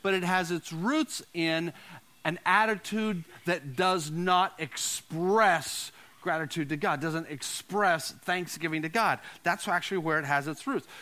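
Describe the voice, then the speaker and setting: High-pitched, bright-voiced male preacher. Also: animated, normal speed. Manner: repeats phrases for emphasis, asks listeners questions. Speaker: pastor. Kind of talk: conversational sermon to a congregation.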